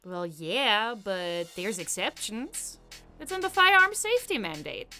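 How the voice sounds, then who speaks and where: A woman voicing a cocky, defiant sixteen-year-old girl in an animated comic dub. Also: medium pitch, measured pace, faint music behind. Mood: cheeky, defiant. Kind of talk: animated fandub voice acting.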